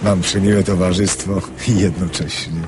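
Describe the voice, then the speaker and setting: A man's voice: low voice, normal speed, background noise, close to an everyday voice. An actor playing an affable young businessman in a radio drama, boasting and chuckling as he tells stories. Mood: breezy, pleased. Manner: chuckling, storytelling, animated. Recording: radio play with murmuring crowd behind.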